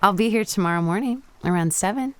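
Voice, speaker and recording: A woman's voice: mid-range voice, fast, smooth, dry recording, natural voice. A woman playing a confident, teasing young Japanese woman diver in a radio play. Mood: teasing, warm.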